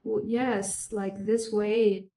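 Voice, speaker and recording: A woman speaking as a patient in therapy, answering in a hesitant, halting way. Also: mid-range voice, flat delivery, warm tone.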